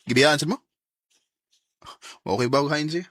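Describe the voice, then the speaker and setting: A man's voice: fairly high pitch, normal speed, fairly bright tone, no echo. A man acting an earnest, determined young student who carries some resentment, in a radio drama with a Filipino Bisaya accent. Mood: guarded, resentful.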